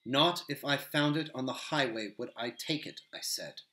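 A man voicing a grave, noble male captain of men who speaks slowly and softly. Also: medium pitch, natural voice. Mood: stern. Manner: slow, soft, measured. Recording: roomy echo.

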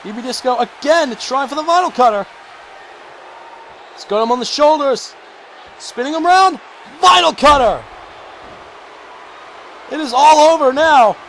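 High-pitched excited wrestling commentator, hoarse. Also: male voice, unhurried, pauses often, a little hiss or hum. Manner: shouting, high-pitched and strained, losing his voice. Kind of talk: excited play-by-play commentary of a video game wrestling match.

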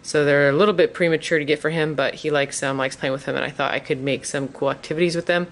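A woman's voice: low voice, steady tone, fast. A woman chatting casually and enthusiastically in an informal shopping haul vlog. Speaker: vlogger, parent.

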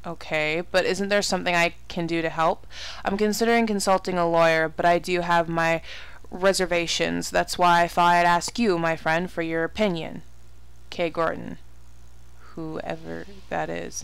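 A woman's voice: lowish voice, level tone, moderate pace, creaky, clean tone, no room sound, rough recording. A woman giving casual horror game commentary, exclaiming, swearing and muttering asides to herself. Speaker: let's play gamer.

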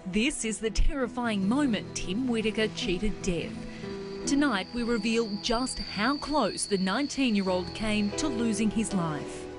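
A woman reporter reading a scripted news story in a dramatic, measured way. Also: on the high side. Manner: dramatic, measured voice-over delivery. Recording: voice-over with music bed.

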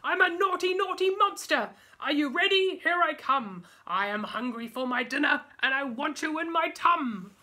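A woman voicing a boisterous, hungry, naughty male monster for a storybook, declaiming threats in a loud singsong. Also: sits high, expressive, normal speed, few pauses. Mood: gleefully menacing.

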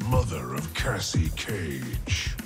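An actor playing a hulking, emotionless game villain who speaks in formal declarations. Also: male voice, extremely low pitch, sing-song, takes it slowly, heavily acted. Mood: cold, menacing. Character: hulking, emotionless, unkillable male henchman of a time goddess. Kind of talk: cinematic video game acting. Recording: loud music behind the voice.

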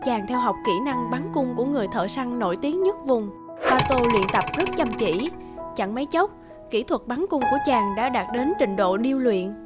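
High-pitched woman narrating a fairy tale. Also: expressive, moderate pace, over loud music.

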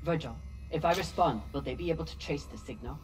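A woman's voice: deep voice, fast talker, darkish tone, soft background music, natural voice, rough recording. A woman playing a steely, composed spaceship captain. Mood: calm, menacing. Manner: controlled, terse, commanding. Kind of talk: dramatic game voice acting.

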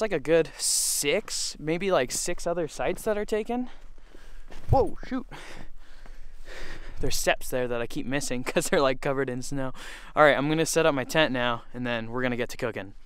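A man's voice: fairly high pitch, fairly bright tone, clean tone, no echo. A young man vlogging about his camping trip in a casual, chatty way, laughing between remarks, recorded on a camera held at arm's length, first inside a truck and then outdoors in the snow. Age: young adult. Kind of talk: casual vlog narration. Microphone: handheld camera at arm's length.

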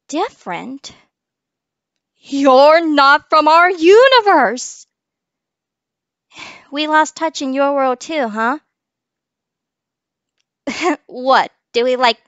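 A high, lively voice of a spirited young cartoon girl, as a woman puts her on for a fan audition. The recording is close and dry. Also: dry recording, lo-fi sound, full character voice. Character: cheerful bright young girl genius.

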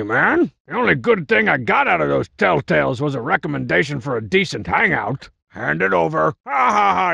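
An actor playing a cocky, boastful, juvenile cartoon villain for a comedy video game, with a raspy growl and mocking, slangy tough-guy delivery. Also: fairly high pitch, sharp tone, no room sound, heavily acted. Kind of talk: exaggerated comic video game character acting.

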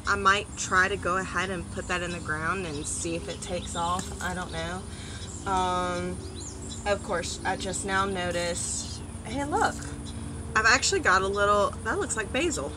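A woman in her thirties giving a casual gardening vlog outdoors on a front porch, chatty, with many ums and animated asides. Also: medium pitch, background noise. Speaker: gardening vlogger. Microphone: phone or camera on a small tripod.

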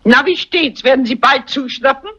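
An actress playing a strong-willed elderly spinster sleuth in a crime radio play, speaking in a brisk, insistent and formal way. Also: female voice, high-pitched, fairly bright tone. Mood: brisk, self-assured. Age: older. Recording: muffled old soundtrack.

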